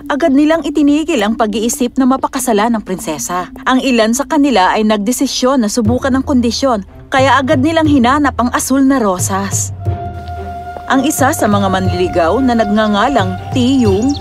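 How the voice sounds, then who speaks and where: A woman narrating a scripted fairy tale as a storyteller. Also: fairly high pitch.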